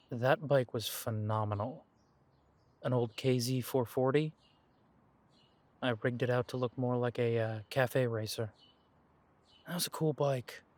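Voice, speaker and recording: A young man voicing an easygoing werewolf man in an audio roleplay, talking to the listener in a relaxed, reminiscing way and chuckling often. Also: mid-range voice, natural voice. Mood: nostalgic.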